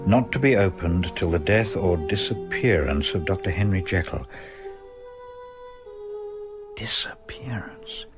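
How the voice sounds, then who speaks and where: Expressive, worried gentleman, lowish voice. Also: a little slow, pauses often, music in the background. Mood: troubled. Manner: earnest, formal, solicitous. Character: concerned, loyal gentleman lawyer friend, troubled and earnest. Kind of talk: radio drama acting.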